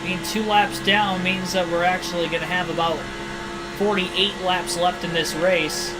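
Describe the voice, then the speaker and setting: A man giving steady play-by-play commentary while streaming a racing video game, often hedging his calls. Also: high voice, measured pace, low-quality recording. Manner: steady race-caller delivery, hedging guesses. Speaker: game livestreamer. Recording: video game music behind. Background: game music.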